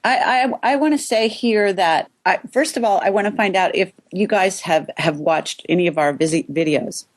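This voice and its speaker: A woman hosting an interview, hoarse from a cold, talking casually and often repeating words as she starts a sentence. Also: mid-range voice, moderate pace, few pauses. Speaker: interview host.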